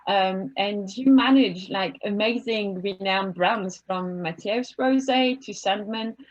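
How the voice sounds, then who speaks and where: A woman's voice: medium pitch, slow, muffled recording. A woman hosting an online panel from home over a video call, friendly and chatty, with many fillers and restarts. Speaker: webinar host and moderator. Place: home office.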